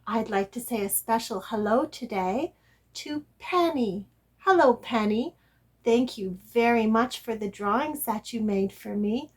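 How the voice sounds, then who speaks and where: A woman hosting story time, reading a picture book aloud to young children in a deliberate, sing-song manner. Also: fairly high pitch, husky. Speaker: children's storybook reader and host.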